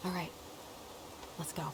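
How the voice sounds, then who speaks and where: Young female game lead, hurt and guarded, naturalistic read; clear background noise on the track. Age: young adult. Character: guarded, reluctant young woman, hurt and wary. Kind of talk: naturalistic video game cutscene acting.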